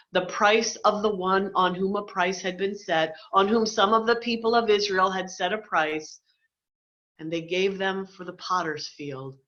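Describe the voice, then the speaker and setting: A woman's voice: on the low side, fairly bright tone. A middle-aged woman pastor giving a dramatic scripture reading over a video call. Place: indoor room, on a video call. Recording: dull lo-fi video call audio.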